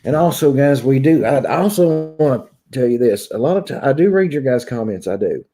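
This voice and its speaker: A man's voice: mid-range voice, lively intonation, a little fast, mellow. A man in his thirties with a Southern Kentucky drawl, chatting casually to his viewers as a vlogger. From Kentucky, United States. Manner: drawling, conversational. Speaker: YouTube vlogger. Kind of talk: casual chat to viewers, venting. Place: small room at home, indoors.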